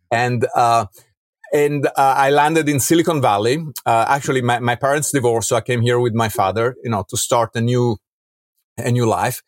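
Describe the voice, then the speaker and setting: A man's voice: mid-range voice, steady tone, normal speed, pauses often, no room sound, clean studio sound. A man with an Italian accent speaking conversationally as an interview guest, emphatic and often checking for agreement. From Rome, Italy. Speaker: podcast guest, entrepreneur and author.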